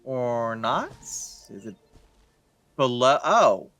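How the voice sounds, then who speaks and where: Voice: medium pitch, sing-song, extremely slow, fairly bright tone, soft background music. A let's play streamer giving casual gameplay commentary, playfully thinking aloud.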